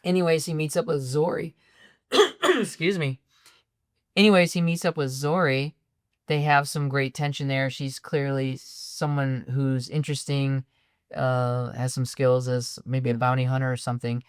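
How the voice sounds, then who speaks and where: A woman co-hosting a film podcast, ranting in exasperation about a movie's plot holes, her voice going a bit raspy. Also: very deep voice, normal speed, dry recording, clean studio sound. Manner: exasperated, ranting, animated complaints. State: voice getting raspy. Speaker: podcast co-host. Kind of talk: casual film critique chat.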